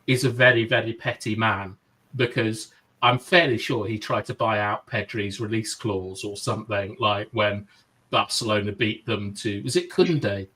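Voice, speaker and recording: A man's voice: lowish voice, normal speed, pushed and bright. A man co-hosting a casual football chat on a video call, reasoning through points with "like" and "I think". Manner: reasoned, easygoing, hedges with "like" and "I think". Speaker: podcast co-host.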